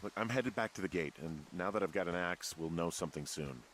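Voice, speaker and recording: An actor playing a weary, anxious American fire lookout in a video game, hesitant and exasperated in natural dialogue. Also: male voice, deep voice, fairly quick, a bit bright, slight background noise, low-quality recording. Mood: uneasy.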